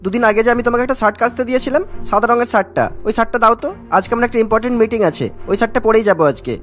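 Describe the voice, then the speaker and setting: A man's voice: extremely high pitch, racing, fairly bright tone, soft background music. A man voicing a fussy, exasperated young husband who nags and instructs in a family cartoon. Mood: brisk, bossy. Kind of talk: Bengali cartoon voice acting.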